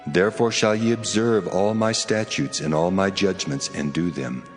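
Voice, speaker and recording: A man's voice: low voice, normal speed, natural voice. A man voicing the Lord, a stern commanding male deity, in a solemn, proclaiming dramatized scripture reading. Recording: long hall reverb over music. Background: music.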